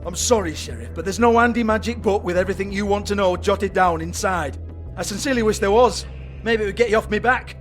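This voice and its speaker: A man's voice: high voice, fast, faint music behind, natural voice. A man playing a foul-mouthed, shifty club boss in a video game, with a British accent and a sarcastic, taunting manner. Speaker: game character, shady club owner.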